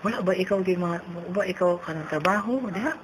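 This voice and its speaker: A woman voicing a forgetful elderly neighbour with a Cebuano accent, halting and repetitive on a prank phone call. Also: lowish voice, lightly acted. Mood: rambling, coaxing. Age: older. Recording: noisy phone-call recording.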